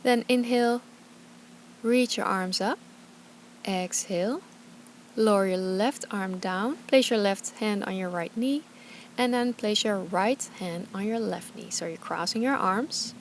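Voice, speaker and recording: A woman leads a guided yoga practice in a gentle, soothing manner. Her voice sits at an average pitch, and she gives short, calm cues. There is some ambient noise behind her. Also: unhurried. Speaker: yoga and meditation teacher.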